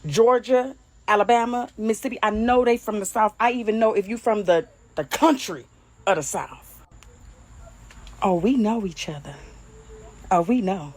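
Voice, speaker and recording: A woman's voice: mid-range voice, moderate pace, long pauses, a little mellow. A woman from Detroit with a Detroit accent, talking bluntly and mockingly to her listeners and laughing often. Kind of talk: casual commentary to camera.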